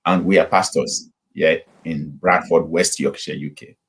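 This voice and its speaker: Low-voiced husky man from Nigeria. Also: steady tone. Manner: unhurried, with frequent fillers and restarts. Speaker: pastor and guest. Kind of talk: casual interview storytelling. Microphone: video call.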